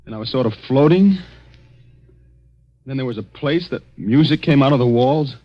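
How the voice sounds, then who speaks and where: An actor playing an anxious, down-on-his-luck young American man in a 1940s radio drama, halting and agitated. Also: medium pitch, animated, close to an everyday voice. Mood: frightened, puzzled. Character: shaken, anxious unemployed young man after a drugged night. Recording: dull, muffled old radio recording.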